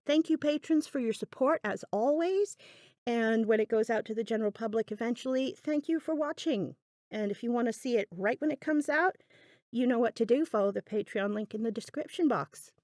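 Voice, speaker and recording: A woman's voice: fairly high pitch, brisk, darkish tone, no room sound. Woman in a dry, chatty craft voiceover. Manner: chatty, self-deprecating, musing aloud. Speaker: craft video host. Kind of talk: casual, unscripted craft voiceover. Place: indoors, voice-over recorded apart from the video.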